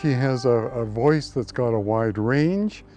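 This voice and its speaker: A man in an interview, speaking in a measured, appreciative way about a singer's voice. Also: medium pitch, dark tone, faint music behind. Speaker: supporter of the singer.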